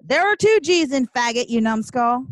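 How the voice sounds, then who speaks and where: A woman's voice: on the high side, very animated, fairly quick, no room sound. A woman voicing a flamboyant, sassy and self-assured seventh-grade boy with dramatic emphasis, in an audiobook. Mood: outraged. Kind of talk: audiobook character dialogue.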